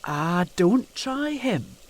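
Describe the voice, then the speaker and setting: Casting: a timid, deferential period housekeeper, halting and slow, for gothic audio drama. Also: female voice, mid-range voice, big pitch swings, heavily acted. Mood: uneasy, confiding. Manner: hesitant, halting, old-fashioned servant's speech. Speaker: actor in an audio play.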